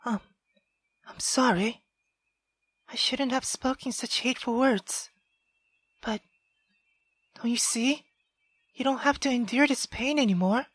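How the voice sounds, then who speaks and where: A man voicing an earnest young woman in a visual novel, gentle and imploring. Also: extremely high pitch, a little slow, pauses often, breathy edge, dry recording, voice pushed up, exaggerated voice. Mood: pleading.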